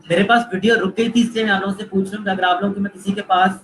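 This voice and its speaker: A man's voice: high voice, fairly even intonation, rapid-fire, a bit bright, a little hiss or hum, low-quality recording. A man with a Pakistani Urdu accent giving a live online lecture, coaching students and repeating points while mixing in English phrases. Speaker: online course instructor.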